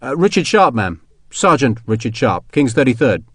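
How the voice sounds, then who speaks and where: A man voicing a rough but kindly young army sergeant in an audiobook, with blunt, chatty, colloquial working-class speech. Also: mid-range voice, very animated, normal speed, dry recording. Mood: gentle, awkward.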